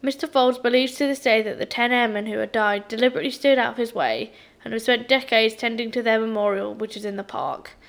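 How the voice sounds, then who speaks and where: A young woman hosting a casual solo podcast, chatty and a little croaky from being unwell, with frequent ums and restarts. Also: fairly high pitch, a little fast, hardly any pauses. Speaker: podcast host.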